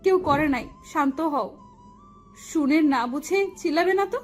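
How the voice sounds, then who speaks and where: A woman acts a feisty young fiancee in an audio story. She is sharp-tongued and scolding, high in pitch, with music behind her. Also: expressive, measured pace. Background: background music.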